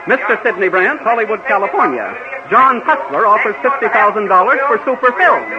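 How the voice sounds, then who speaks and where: A man's voice: high voice, lively intonation, brisk. An actor playing a brash, self-assured man with emphatic delivery in 1930s radio drama style. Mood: brash, defiant. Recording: very noisy muffled 1930s radio recording.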